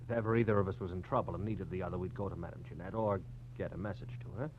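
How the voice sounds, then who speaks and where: A man's voice: deep voice, racing, close to an everyday voice. A man playing a polite, evasive former lover in an old radio crime drama, earnest but hesitant and guarded. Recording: dull, noisy vintage radio recording with hum.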